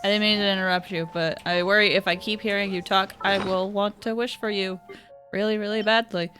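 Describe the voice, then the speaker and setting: A woman doing casual, chatty livestream commentary as she plays a game, with an excitable manner. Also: mid-range voice, a little fast, over music. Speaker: game streamer.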